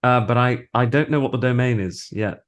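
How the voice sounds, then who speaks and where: A man chatting casually as an interview guest in a halting, thoughtful way. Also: mid-range voice. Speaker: interview guest, voice actor and audiobook narrator.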